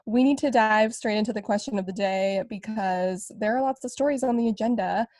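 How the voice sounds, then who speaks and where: A woman's voice: mid-range voice, a little fast, a little mellow, no echo. Young woman co-host, casual and self-deprecating, filler-heavy, dry and close on the mic. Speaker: podcast co-host. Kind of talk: casual chat.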